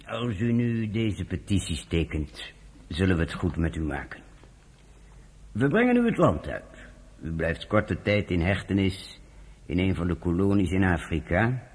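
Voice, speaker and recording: A man playing a reluctant, persuasive British general in a Dutch radio drama, husky, earnest and coaxing. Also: low-pitched, big pitch swings, unhurried, pauses often, heavily acted. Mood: grave persuasion.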